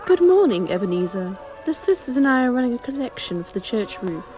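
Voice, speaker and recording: A genteel, fussy church sister for a comic radio play. Her voice is high and swooping, with a dark, soft tone. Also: very animated, fairly quick, soft background music, rough recording. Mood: sweetly gushing.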